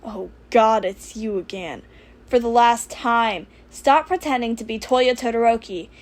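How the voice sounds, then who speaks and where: A woman's voice: fairly high pitch, measured pace, close to an everyday voice. A woman voicing a brash young professional heroine in an audiobook, casual and sardonic with a caring streak. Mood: exasperated. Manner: casual, exasperated, emphatic. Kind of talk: audiobook character voice for fan fiction.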